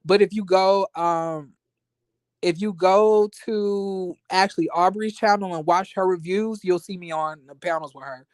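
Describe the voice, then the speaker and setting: A man with an American accent chatting casually as a livestream host, in a sassy, expressive, slangy conversational way. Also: high-pitched, a little slow, smooth, no echo. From United States, born in rural Florida and raised in Las Vegas. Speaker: YouTube reviewer and livestream host. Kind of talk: casual livestream chat with viewers.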